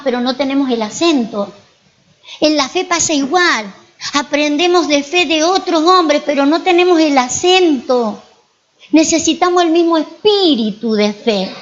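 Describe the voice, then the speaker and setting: Argentine lady pastor preaching, high voice, keeps asking the church questions, muffled lo-fi sound. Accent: Argentine Spanish. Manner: storytelling with frequent rhetorical questions to the congregation. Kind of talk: sermon.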